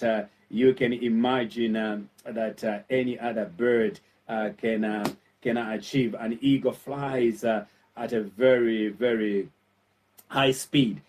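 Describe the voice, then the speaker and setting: A man with a Zambian accent giving an earnest, encouraging motivational talk as a pastor, with frequent filled pauses and points repeated for emphasis. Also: mid-range voice, a little mellow, far from the mic.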